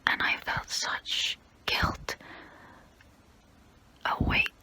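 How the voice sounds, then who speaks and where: A woman playing a centuries-old female vampire, guilt-ridden and gentle yet predatory, in an intimate, breathy, halting ASMR role-play whisper. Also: exaggerated voice, low-quality recording. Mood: remorseful. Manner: slow, halting, intimate, soothing. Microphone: close-up microphone.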